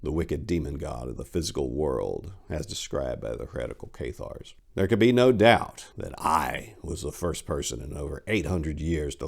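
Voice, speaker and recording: A middle-aged man with a deep, gravelly voice reads a horror tale aloud. His delivery is dramatic and swelling, captured close on a lapel mic. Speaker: reader of horror stories. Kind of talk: dramatic reading of a first-person story. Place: indoors in front of bookshelves.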